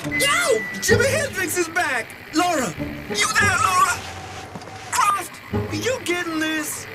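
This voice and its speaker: An actor playing a brash, excitable young tech sidekick with an American accent in a video game. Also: male voice, very high voice, slow, heavily acted, poor audio. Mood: excited. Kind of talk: video game cut-scene acting. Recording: game audio with loud music.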